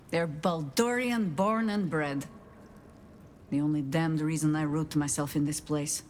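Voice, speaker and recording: A woman playing a proud, wry older spymaster in a game, speaking in a measured, dry, commanding way. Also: fairly low pitch, natural voice. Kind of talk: dramatic game dialogue. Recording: background noise and ambience.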